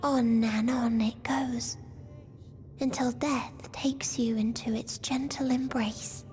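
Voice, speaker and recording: High, slow, gentle otherworldly girl. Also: fairly even intonation, faint music behind. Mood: wistful. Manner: soft, breathy, solemn, poetic delivery. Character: gentle, sorrowful otherworldly girl, repentant and hopeful. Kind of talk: scripted video game cutscene acting.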